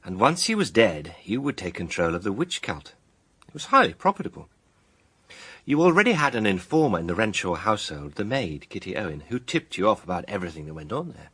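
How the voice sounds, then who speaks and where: A man's voice: lowish voice, sing-song, fairly quick, a bit bright, slightly rough, heavily acted. An actor playing a self-assured, stern man laying out a crime, theatrical and accusatory in a radio drama style. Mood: methodical, confident.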